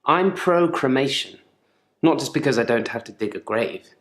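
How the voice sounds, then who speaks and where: A man's voice: mid-range voice, animated, moderate pace, a bit bright. A man playing a troubled gravedigger in therapy for a video game, speaking in a guarded, dryly sardonic, articulate way. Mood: wry, reflective. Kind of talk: naturalistic acted monologue in therapy session. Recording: echoey room.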